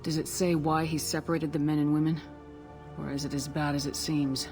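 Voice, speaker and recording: A woman's voice: low-pitched, little pitch movement, fairly quick. An actress playing a cool-headed, matter-of-fact female military commander in a video game, clipped and probing. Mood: cool, questioning. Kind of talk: scripted video game dialogue. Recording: loud game music behind.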